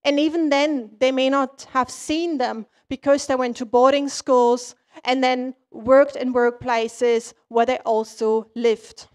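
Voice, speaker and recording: A woman from East Germany, a native German speaker, giving a prepared conference talk as an academic, explaining in short, measured phrases. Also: high voice, fairly even intonation, darkish tone, smooth.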